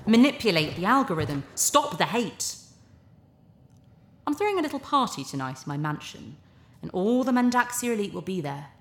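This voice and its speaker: An actress in a sci-fi audio drama playing a glib, cynical, charming TV propaganda presenter, breezy and persuasive. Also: female voice, medium pitch, sing-song, moderate pace, slight background noise. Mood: coaxing, persuasive. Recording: roomy, echoing sound.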